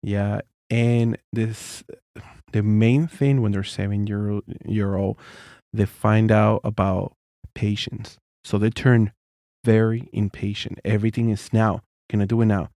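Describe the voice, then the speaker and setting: A man with a deep voice co-hosting a casual parenting chat, earnest and prone to repeating his points. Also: flat delivery, a little slow, mellow, dry recording, studio quality. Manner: earnest, repeats himself, non-native phrasing. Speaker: co-host.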